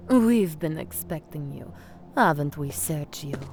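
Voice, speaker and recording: A woman's voice: deep voice, lively intonation, unhurried, a little mellow, a little hiss or hum. A woman playing a vain, condescending crime boss in an audio drama, silkily menacing and mock-polite. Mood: smug menace.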